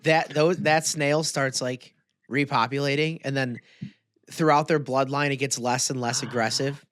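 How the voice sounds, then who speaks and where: A man's voice: mid-range voice, steady tone, normal speed, a bit bright. A man co-hosting a podcast in casual banter, with slangy, bro-heavy speech. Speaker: podcast co-host. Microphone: close podcast microphone.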